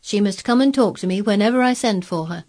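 A woman's voice: mid-range voice, fairly quick, a little mellow, voice pushed up, natural voice. A woman voicing an imperious, wilful, sickly ten-year-old boy in a storybook, giving orders in a commanding way. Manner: commanding, peremptory. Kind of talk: storybook character voice.

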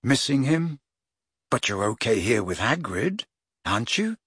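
A man's voice: fairly high pitch, huge pitch swings, slow, fairly bright tone, slightly rough, dry recording, studio quality, pitched above the performer's own voice, full character voice. A man reading the part of a stubborn, plain-spoken teenage boy in a storybook, firm and earnest. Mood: gentle.

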